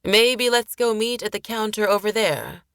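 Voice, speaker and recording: A woman's voice: medium pitch, animated, normal speed, a bit bright, no room sound, pitched above the performer's own voice. A friendly storybook man with a firm edge beneath the politeness, read by a female narrator. The sound is dry and close. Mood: polite warning.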